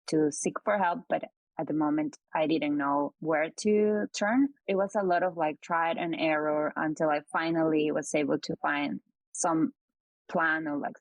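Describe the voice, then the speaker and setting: A woman's voice: deep voice, vocal fry, no room sound, rough recording. A woman from Peru, a startup co-founder, answering interview questions earnestly and often using "like" as filler.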